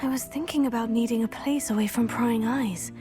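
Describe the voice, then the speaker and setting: Woman voicing a polite young witch student in a video game, bit high, calm and well spoken, music under. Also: brisk, lightly acted. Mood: composed, curious. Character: polite, curious teenage student witch protagonist. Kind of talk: fantasy video game acting.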